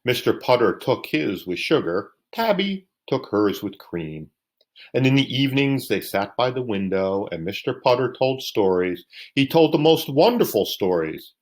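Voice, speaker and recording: A man's voice: mid-range voice, very animated, normal speed. A man reading a children's bedtime story aloud. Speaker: storybook reader.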